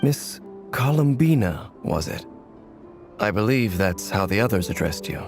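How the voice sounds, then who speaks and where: A brooding, age-old warrior for a video game. The voice is deep and the words come slowly and formally, weighed with a solemn gravity. Faint music plays underneath. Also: male voice, big pitch swings. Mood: reserved, solemn. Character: brooding, long-lived male warrior haunted by the past.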